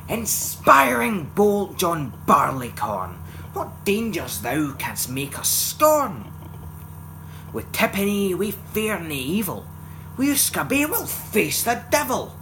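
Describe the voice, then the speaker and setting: Scottish man reciting verse, dramatically swooping pitch. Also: takes it slowly, gravelly, slight background noise. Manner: theatrical, expressive storytelling delivery. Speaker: poetry reciter.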